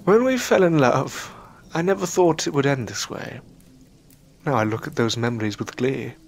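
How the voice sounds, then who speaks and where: A man playing a weary, bewildered adventurer in an audio drama, speaking in a halting, earnest way. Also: mid-range voice, creaky, a little hiss or hum, lightly acted. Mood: wistful. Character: weary, bewildered male adventurer returned from limbo, reflective and sincere.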